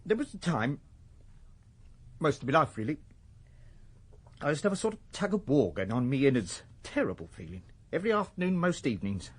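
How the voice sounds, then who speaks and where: A whimsical, husky, animated middle-aged Englishman. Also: fairly high pitch, brisk, long pauses. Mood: eager, droll. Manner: hesitant, playful with fanciful words. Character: mild, whimsical middle-aged runaway shopkeeper seeking work. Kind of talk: naturalistic radio drama acting.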